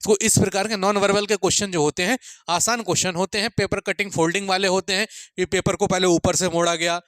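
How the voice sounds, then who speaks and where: High-pitched man coaching students. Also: steady tone, fast, fairly bright tone, no echo, clean studio sound. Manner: repeats points, frequent check-in tags and friendly address to students. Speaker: exam-prep coach. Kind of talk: informal lecture with exam advice.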